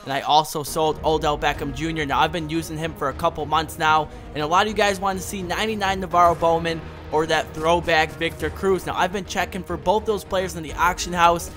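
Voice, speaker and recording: A young man with a hoarse voice from a cold, doing upbeat gameplay commentary straight to his viewers. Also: fairly high pitch, steady tone, a bit bright. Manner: upbeat, fast-talking, speaks straight to viewers. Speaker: gaming YouTuber. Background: background music.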